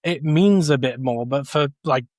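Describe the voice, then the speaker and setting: A man's voice: mid-range voice, a little slow, darkish tone, no echo, studio quality. A man chatting casually as a podcast panellist, giving blunt opinions and swearing freely.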